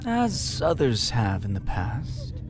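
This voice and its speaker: An actor playing a cold, commanding male vampire war leader in an audio drama, terse, deliberate and imperious. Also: medium pitch, wildly swooping pitch, noisy background, full character voice. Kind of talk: audio drama villain acting. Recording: music under the voice. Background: clear music.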